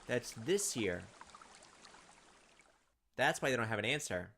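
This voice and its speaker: A man's voice: mid-range voice, sing-song, measured pace, fairly bright tone, a little hiss or hum. A man doing a visual novel let's play, reading aloud expressively and adding sarcastic asides. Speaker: let's player.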